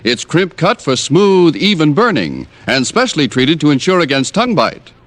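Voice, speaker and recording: A man's voice: a little high, sing-song, moderate pace, a bit bright, no room sound. An American man working as an old-time radio announcer, reading adverts and credits with emphatic, polished salesmanship. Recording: old radio broadcast with music.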